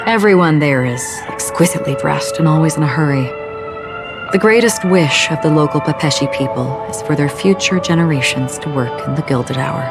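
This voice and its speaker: A quiet, melancholic swordswoman from a video game, with a strikingly low voice for a woman. She speaks in a measured, solemn way. Game music plays clearly behind her. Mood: reflective. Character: calm, melancholic, forgetful female swordswoman, young adult. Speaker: wandering swordswoman. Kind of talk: game voice acting.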